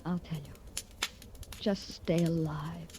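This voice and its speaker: An actress playing a wealthy, persuasive wife in a 1940s radio drama, with poised, insistent, deliberate phrasing. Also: female voice, low-pitched, little pitch movement, music in the background. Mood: smooth, coy. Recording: slightly noisy old radio recording.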